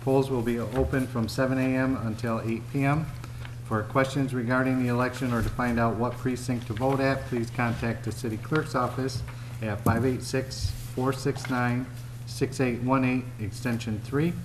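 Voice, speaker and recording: A man gives the city manager's report, reading notices in a steady, formal voice. His pitch sits in the middle range. Faint noise from the room is heard. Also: moderate pace, low-quality recording. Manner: steady, formal, reads from notes. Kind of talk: reading prepared announcements. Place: city commission chamber.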